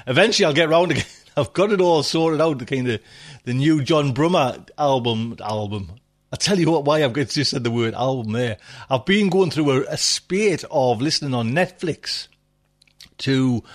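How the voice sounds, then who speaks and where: A man hosting a podcast from home, chatting casually and rambling with many asides and fillers. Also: mid-range voice. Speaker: podcast host.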